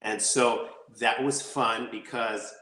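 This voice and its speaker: A man's voice: mid-range voice, slow pace. Chatty man with roomy echo. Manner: rambling, energetic storytelling with many restarts. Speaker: actor guest. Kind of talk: casual interview chat.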